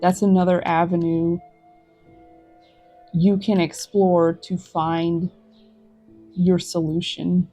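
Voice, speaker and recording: A woman hosting a tarot reading, talking to viewers in a gentle, reflective, encouraging way. She is recorded outdoors in a forest. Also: fairly low pitch, level tone, slow pace, long pauses, soft background music. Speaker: tarot reader.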